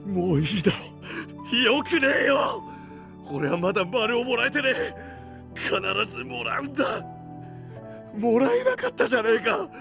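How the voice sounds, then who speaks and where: A man playing a rough, brooding young knight in a voice drama, gruff and anguished, proud yet tormented. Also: very high-pitched, big pitch swings, a little slow, over music, heavily acted. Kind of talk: anime-style voice drama acting.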